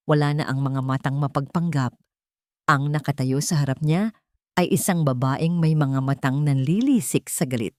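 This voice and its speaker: Female storyteller, low register, dramatic scripted delivery for romance audio fiction, close dry recording. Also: a little mellow, no room sound. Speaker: story narrator.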